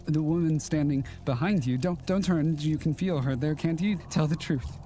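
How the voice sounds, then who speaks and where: An actor in an audio drama playing a ghostly, wistful killer from the 1940s, with halting, old-fashioned courteous speech and quiet menace. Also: male voice, on the high side, faint music behind, natural voice. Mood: quietly menacing.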